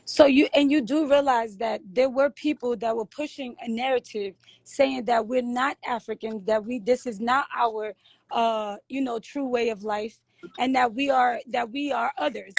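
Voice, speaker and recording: A woman with a high voice, whose first language is Arabic, talks as a livestream guest. She lays out her points earnestly and at length. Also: steady tone, normal speed, no room sound. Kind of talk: casual livestream talk.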